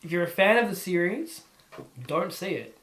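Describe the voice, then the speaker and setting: A man's voice: high voice, lively intonation, normal speed, a bit bright, roomy sound, slight background noise. A man talks casually as a podcast co-host. His film commentary is blunt and critical, delivered as loose conversation among co-hosts. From Australia. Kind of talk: casual film review chat.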